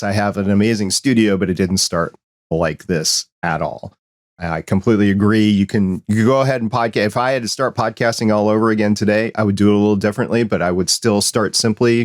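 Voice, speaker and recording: A man's voice: on the low side, steady tone, fairly quick, no echo. A man hosting a podcast interview from his home studio, upbeat and conversational. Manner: upbeat, conversational, reads chat comments. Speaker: podcast host.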